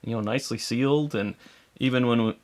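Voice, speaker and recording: A man's voice: mid-range voice, fairly quick. A man co-hosting a casual live show, easygoing and laughing often. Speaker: co-host.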